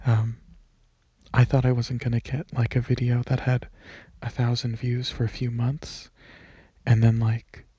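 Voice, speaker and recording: Husky, breathy man rambling softly. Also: mid-range voice, unhurried, no echo, muffled recording. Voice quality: soft, breathy, husky, partly whispered. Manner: relaxed, hesitant, unforced. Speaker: ASMR video creator. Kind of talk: casual rambling ASMR, softly spoken to listeners. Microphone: microphone held close at chest, leaning back.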